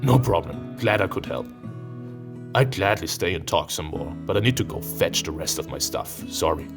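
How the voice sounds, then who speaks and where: A man's voice: deep voice, animated, moderate pace, vocal fry. A man voicing a big, friendly, helpful bear from a visual novel, with an easygoing, reassuring manner. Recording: music behind the voice.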